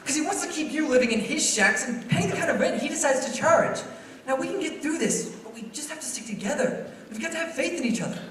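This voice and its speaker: Guy acting earnest stammering young businessman in a radio play, really animated, big echoey hall. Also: very high voice. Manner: stammering, insistent, repeats himself. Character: earnest, flustered young building and loan man, pleading and decent. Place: school theater stage. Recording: echoey hall, distant mic, faint noise.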